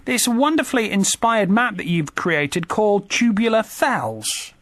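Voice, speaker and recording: A man's voice: sits high, big pitch swings, measured pace, a bit bright, no echo. A man presenting a radio interview in a studio, upbeat and effusive.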